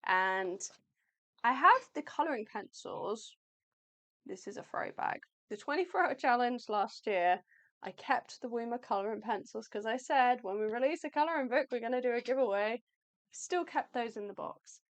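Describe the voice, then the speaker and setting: Woman, livestream co-host, chatty, rambling, fairly high voice, slightly hoarse. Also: lively intonation, normal speed. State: losing her voice. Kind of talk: casual live chat. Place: home art livestream.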